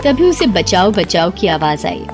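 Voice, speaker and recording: A woman's voice: fairly low pitch, big pitch swings, a little slow, over music. A woman narrating a scripted Hindi story in a dramatic storytelling style.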